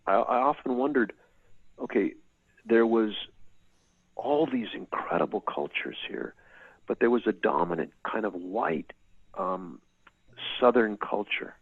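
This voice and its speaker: Deep-voiced man on a tinny call. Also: breathy edge, no echo. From California. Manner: halting, many repeated words and restarts. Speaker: author being interviewed. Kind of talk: thoughtful conversational answers. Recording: dry tinny video-call audio.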